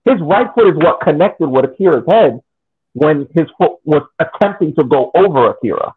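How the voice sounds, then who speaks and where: A man's voice: a little high, animated, moderate pace, slightly rough. A man co-hosting a soccer podcast over a video call, debating casually and argumentatively with hypothetical questions. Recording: thin phone-like call audio.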